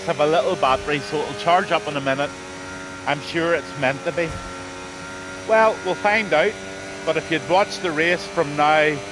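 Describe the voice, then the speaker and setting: A man's voice: a little high, noisy background. A man, losing his voice, commentating a live online race in a rambling, blunt way with lots of ums. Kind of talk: live sim racing commentary.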